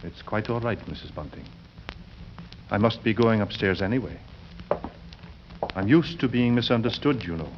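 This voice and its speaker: An actor playing a brooding religious fanatic in a 1940s radio drama, speaking formally in deliberate, sermonizing phrases. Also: male voice, lowish voice, lively intonation, close to an everyday voice. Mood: brooding, exalted. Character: brooding, pious, sinister male lodger, religious fanatic. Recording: muffled, noisy old 1940s radio recording.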